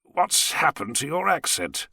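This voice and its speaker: A man's voice: on the high side, big pitch swings, unhurried, vocal fry, pushed and bright, breathy edge, no room sound, clean studio sound, pitched above the performer's own voice, exaggerated voice. Man acting a sardonic, articulate captive professor. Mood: probing. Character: brilliant captive professor, wry, composed, sardonic.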